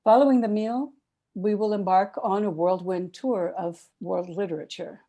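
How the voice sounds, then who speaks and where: A woman hosting an online festival, giving opening remarks and introducing the program in measured, formal phrasing. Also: mid-range voice, steady tone, dark tone.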